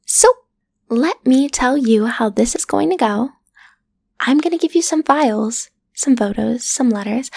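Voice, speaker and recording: Woman acting a sardonic, frazzled matchmaker, higher-pitched and creaky. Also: dry recording, heavily acted. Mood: exasperated, flippant. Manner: sarcastic, chatty, flippant asides and mutterings. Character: frazzled, sardonic woman running a shady yandere matchmaking agency. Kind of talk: comedic audio roleplay monologue, addressing the listener.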